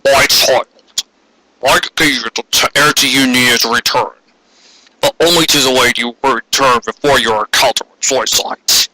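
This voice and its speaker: A man plays a serene queen mother. The delivery is solemn and gentle, in a bright voice with a dry, close sound, as in a read-aloud story. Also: mid-range voice, moderate pace, no room sound, lightly acted, poor audio.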